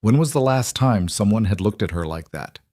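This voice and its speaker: A man with a fairly low voice narrates a scripted romance story. The recording is dry and close, in the manner of an audiobook storyteller. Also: lively intonation, moderate pace, darkish tone, dry recording.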